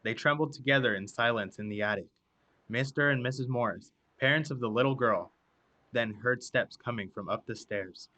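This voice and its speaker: A man narrating a scripted radio drama with suspenseful, deliberate phrasing. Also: medium pitch, pushed and bright.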